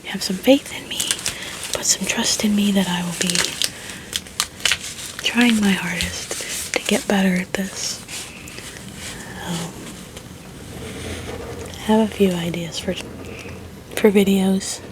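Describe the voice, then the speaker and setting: A woman whispering softly and very quietly as she chats through an ASMR video at home. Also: medium pitch, fairly even intonation, background noise. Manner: slow, unhurried chatty whispering. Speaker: ASMR creator. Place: home room. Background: crinkling of paper and book stickers.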